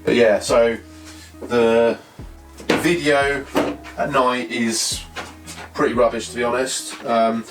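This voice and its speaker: A man's voice: mid-range voice, level tone, takes it slowly. Echoey British man reviewing tech. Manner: hesitant, thinking aloud in short fragments. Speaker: presenter of a tech product review. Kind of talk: casual unscripted review talk. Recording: roomy echo, distant mic, faint music.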